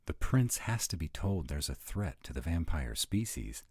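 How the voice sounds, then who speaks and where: A man's voice: very deep voice, big pitch swings, moderate pace, darkish tone, husky, dry recording, studio quality, heavily acted. Man acting as earnest young vampire, swooping intonation. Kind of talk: roleplay audio drama acting.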